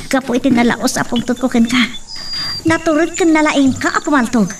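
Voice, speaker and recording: Radio drama heroine played by a woman: young, defiant, high voice, Ilocano accent, slightly distant recording. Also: brisk, natural voice. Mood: defiant, confronting. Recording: distant mic, faint background noise.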